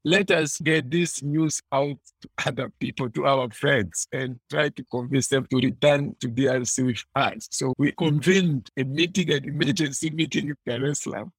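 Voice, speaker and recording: A man's voice: medium pitch, no room sound, rough recording. A man with a Congolese accent speaks as an interview guest in a mid-range voice. He explains things conversationally, often repeating and rephrasing, in a close, dry recording. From Eastern DR Congo. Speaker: interview guest, clean energy entrepreneur. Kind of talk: conversational storytelling in a podcast interview.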